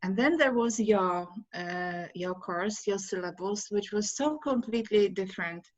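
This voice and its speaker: A middle-aged woman with a Polish accent, speaking English as a second language, answering interview questions on a video call with halting speech and many fillers. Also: medium pitch. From Poland. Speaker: course graduate, interview guest. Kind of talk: casual interview chat. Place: home room, video call. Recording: dull lo-fi video call audio.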